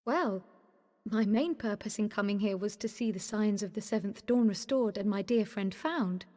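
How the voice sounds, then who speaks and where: An actress playing a polite, loyal, determined scholar in a fantasy game, with deliberate speech. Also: female voice, medium pitch, warm tone, natural voice. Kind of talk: formal fantasy game dialogue. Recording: long hall reverb.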